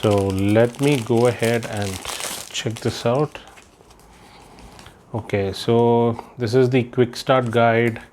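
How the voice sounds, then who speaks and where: A man narrates an unboxing in a relaxed, unhurried way, filling pauses with fillers as he thinks aloud. His pitch sits mid-range for a man. He was recorded indoors by a camera above his hands, with faint room noise. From India. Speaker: unboxing vlogger. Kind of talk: casual unboxing commentary. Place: indoors, room with patterned floor rug.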